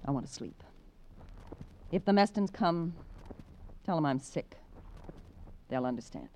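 A woman's voice: deep voice, expressive, unhurried, low-quality recording. A woman playing a bitter, guarded, sardonic wife in an old-time radio crime drama, weary and cutting in manner.

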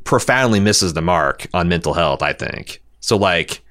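A man co-hosting a podcast, talking casually and critically about a show in a halting way, often repeating words. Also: low-pitched, lively intonation, fairly bright tone. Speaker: podcast co-host.

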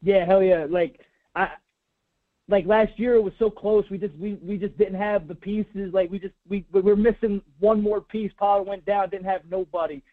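A man calling in to a sports show, excited and rambling, with lots of fillers. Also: sits high, normal speed. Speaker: caller. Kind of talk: casual sports chat. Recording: tinny phone line.

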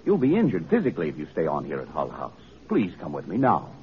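A protective, insistent gentleman in a vintage radio drama. His low voice swings widely as he speaks with formal urgency. The recording is dull, with faint noise. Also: wildly swooping pitch, fast, full character voice. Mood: urgent warning. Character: worried, protective gentleman friend, skeptical and insistent. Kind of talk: old-time radio drama acting.

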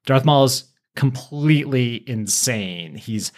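A podcast co-host with a mid-pitched voice, casually recapping a story in a playful, informal way with dramatic asides. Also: expressive, takes it slowly.

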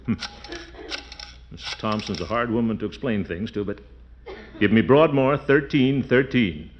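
An actor playing a pompous, blustering middle-aged uncle in a 1940s radio comedy, chuckling often. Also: male voice, fairly low pitch, normal speed, close to an everyday voice. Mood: coaxing. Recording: dull old radio recording, faint hiss.

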